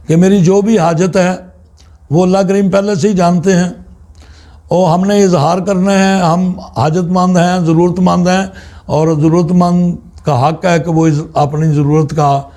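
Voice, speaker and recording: A man preaches in a high, mellow voice with some creak in it. He explains religious practice without a script, pausing often and restating his phrases with deliberate care. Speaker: religious teacher, Islamic preacher. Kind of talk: extemporaneous religious teaching talk.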